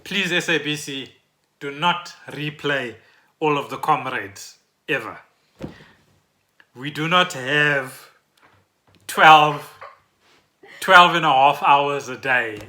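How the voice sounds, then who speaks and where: South African man with a bright, forceful voice. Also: on the high side, slow, long pauses. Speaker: podcast co-host. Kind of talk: casual chat about trending news.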